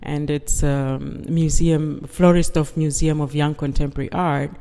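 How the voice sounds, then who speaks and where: A woman from Uganda, a guest artist, talking in a calm, measured way and often affirming with "yes". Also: low-pitched, creaky. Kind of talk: calm conversational interview. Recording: long hall reverb.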